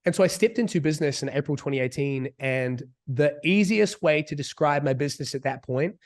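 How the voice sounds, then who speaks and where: Husky New Zealand man in his thirties. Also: a little high. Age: 30s. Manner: speaks slowly and deliberately. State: slightly sick, husky. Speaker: business coach. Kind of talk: casual talk as a guest.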